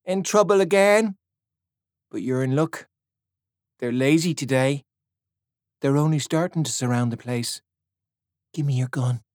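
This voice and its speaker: A man reading an audiobook voicing a cheeky, quick-witted eleven-year-old girl with pert, bold replies. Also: mid-range voice, darkish tone, no room sound, studio quality, pitched above the performer's own voice, close to an everyday voice. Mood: teasing.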